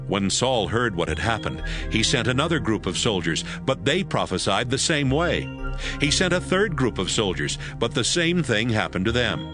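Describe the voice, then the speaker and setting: A man's voice: medium pitch, big pitch swings, normal speed, music in the background. A man narrating a dramatized scripture audiobook.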